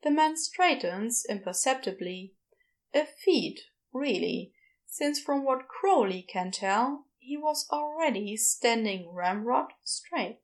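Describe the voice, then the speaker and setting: Slow, higher-pitched woman narrator. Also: animated. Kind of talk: scripted fiction narration for a podfic.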